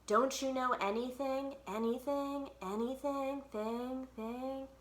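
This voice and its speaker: A woman's voice: high-pitched, steady tone, slow, voice pushed up. Woman reading as a blunt know-it-all schoolgirl. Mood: scornful. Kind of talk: children's storybook character voice.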